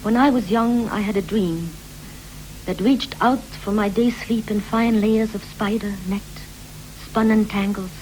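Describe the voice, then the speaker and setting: A wife, acted by a woman, recalls her marriage with dreamy, possessive pride in a poetic 1960s radio drama. Her voice sits at a middle pitch and moves in measured, rhythmic phrases, with faint hum on the old recording. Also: steady tone, darkish tone, close to an everyday voice, rough recording. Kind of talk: 1960s BBC radio play, poetic stylised dialogue.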